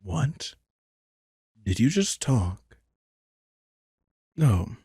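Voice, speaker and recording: A man playing a cocky, possessive vampire master in an immersive roleplay audio drama, teasing and condescending, with casual swearing and a husky voice. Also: mid-range voice, sing-song, very slow, warm tone, no room sound, clean studio sound, heavily acted. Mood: threatening, controlling. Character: cocky, possessive male vampire master who charms his prey.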